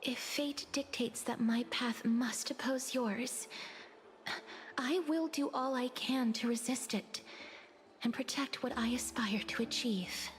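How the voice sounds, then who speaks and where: Soft, slow-spoken young fantasy heroine. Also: female voice, fairly high pitch. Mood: resolute, hesitant. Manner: gentle, hesitant, formal, measured. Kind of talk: video game story acting.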